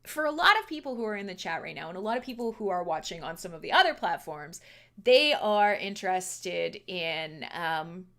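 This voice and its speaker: A woman's voice: fairly low pitch, very animated, moderate pace, bright, forceful tone. A Canadian woman hosting a casual livestream chat, playful and chatty, laughing often as she talks. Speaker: livestream host.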